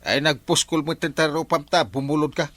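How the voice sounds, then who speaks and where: A man's voice: a little high, a little fast, fairly bright tone, no echo. A man with an Ilocano accent playing a reproachful friend fed up with a borrower, in a colloquial radio drama.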